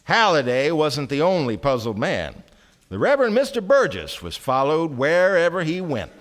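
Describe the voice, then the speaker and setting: A man performing as a wry storyteller, narrating in deliberate theatrical phrases for a live radio play. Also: on the high side, wildly swooping pitch. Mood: wry storytelling. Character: wry storyteller narrating a small-town satire. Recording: live stage radio recording with music.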